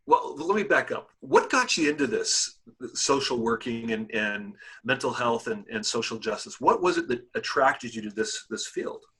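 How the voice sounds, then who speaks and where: A man's voice: medium pitch, pushed and bright, husky. A man hosting a podcast, talking enthusiastically in a casual interview and often restarting his sentences. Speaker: podcast host.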